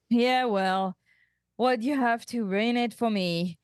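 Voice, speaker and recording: A woman playing a dejected young male starship ensign in an animated sci-fi comedy table read, plaintive and exasperated. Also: on the high side, takes it slowly, smooth, no echo.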